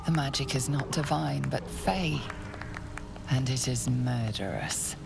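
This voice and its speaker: The narrator of a fantasy game, solemn and hushed. Her voice is low and slow with a gravelly creak, ominous and deliberate over loud music. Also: full character voice. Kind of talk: scripted dramatic narration.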